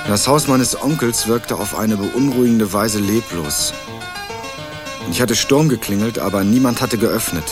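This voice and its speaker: Guy acting as young student narrator in radio crime play, lowish voice, wry measured telling, music behind. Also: lightly acted. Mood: uneasy, observant. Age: young adult. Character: young male student turned amateur sleuth, sober and wry. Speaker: narrator and protagonist. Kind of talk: scripted first-person crime radio drama narration. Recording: music under the voice, 1980s radio production.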